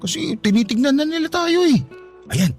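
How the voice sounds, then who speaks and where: An actor playing a nervous, devoted young boyfriend in a Filipino radio drama, cautious, indulgent and joking. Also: male voice, very high voice, very animated, moderate pace, faint music behind.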